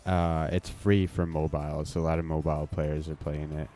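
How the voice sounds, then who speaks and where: Deep-voiced man swearing through gameplay. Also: little pitch movement, normal speed, dark tone, faint music behind. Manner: casual, swearing, reacting to play. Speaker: streamer. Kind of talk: live gameplay commentary.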